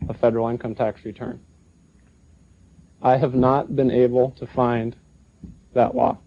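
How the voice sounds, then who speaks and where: A man answering as a seminar speaker in a measured, earnest way. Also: medium pitch. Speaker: former federal agent speaking at a seminar. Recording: muffled lo-fi broadcast recording.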